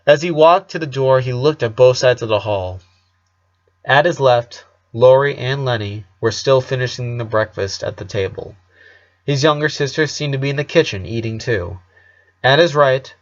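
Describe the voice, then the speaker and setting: Male story narrator, medium pitch, amateur read with the odd stumble, slightly lo-fi sound. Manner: occasional stumbles over words while reading. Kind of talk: fan fiction read aloud.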